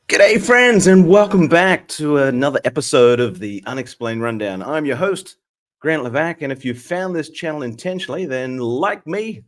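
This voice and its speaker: An Australian man in his thirties, hoarse from a cold, hosting a chatty livestream with lots of fillers. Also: a little high, lively intonation, normal speed. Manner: friendly, chatty, many fillers. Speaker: host. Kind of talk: casual livestream chat.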